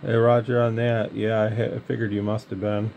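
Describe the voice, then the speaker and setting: Man, Midwestern American accent, low voice, casual CB chat, light radio noise. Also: a little fast. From Michigan, United States. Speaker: radio hobbyist, channel host. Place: indoor radio bench. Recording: steady background hiss and radio noise.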